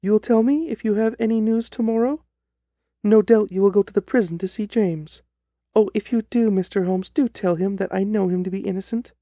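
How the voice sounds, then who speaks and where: A man voicing an anxious, pleading young gentlewoman in an audiobook story. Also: high-pitched, brisk, no echo, lo-fi sound, voice pushed up. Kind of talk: audiobook character reading.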